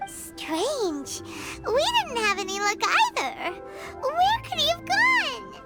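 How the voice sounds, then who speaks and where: Squeaky, very high little fairy. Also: female voice, sing-song, slow, over loud music, exaggerated voice. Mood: worried. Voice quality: squeaky, childlike. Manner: refers to herself by name, exclamatory. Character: tiny childlike female fairy companion, excitable, food-loving, caring. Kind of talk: anime-style video game voice acting.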